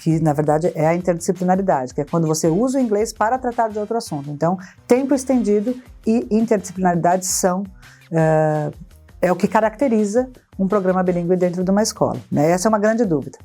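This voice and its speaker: A woman specialist, explaining in a measured way in a podcast panel discussion. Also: medium pitch, dark tone. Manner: measured, explanatory, often tags "né?". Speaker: bilingual education specialist.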